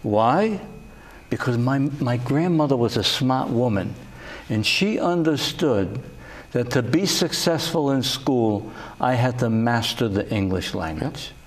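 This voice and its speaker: An older man hosting a political talk show, speaking slowly and deliberately with frequent pauses and fillers. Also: mid-range voice, animated. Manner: slow and deliberate, many uh fillers. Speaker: host.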